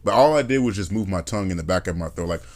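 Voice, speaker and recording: Animated low-voiced man chatting. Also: very fast, no room sound. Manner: lively, acts out accents, rambling. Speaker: podcast host. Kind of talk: casual chat.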